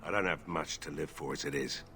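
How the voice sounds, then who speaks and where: An actor playing a despairing, stubborn old village blacksmith in a video game, gruff, weary and drunk. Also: male voice, a bass voice, steady tone, a little fast, pushed and bright, soft background music, exaggerated voice. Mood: bitter, resigned. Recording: faint background noise.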